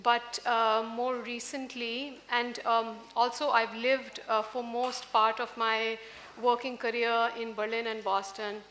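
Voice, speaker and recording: A woman in her forties, an artist on a festival panel, speaking hesitantly with many pauses into a stand mic. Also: a little high, little pitch movement, fairly bright tone, a little hiss or hum. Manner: hesitant, many ums and uhs. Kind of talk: panel discussion. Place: large indoor festival stage with audience. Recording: roomy hall sound, faint ambience.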